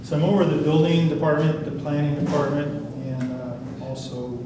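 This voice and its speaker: An older man in his sixties addressing a town hall crowd, folksy and rambling, with a flat delivery he calls monotone himself. His pitch is ordinary. He is heard from a distance in a very echoey hall, with clear noise behind him. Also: normal speed. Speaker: county department director. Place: community meeting hall.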